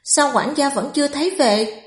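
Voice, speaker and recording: Elderly matriarch for audiobook melodrama, voiced by a woman: anxious, urgent, raised pitch, echoey sound. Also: moderate pace, close to an everyday voice. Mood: anxious urgency. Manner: urgent, muttering. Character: frantic, anxious old matriarch.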